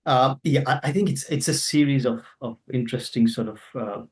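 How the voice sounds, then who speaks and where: A man's voice: medium pitch, brisk, far from the mic. A middle-aged man who grew up in Zambia, answering interview questions in a hesitant way with many fillers and restarts. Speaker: interview guest, author.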